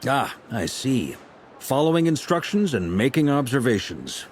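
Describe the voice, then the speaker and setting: An actor playing a relaxed, friendly veteran knight leader with a wry manner in a game cutscene. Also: male voice, medium pitch, unhurried, slight background noise. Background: game soundtrack music.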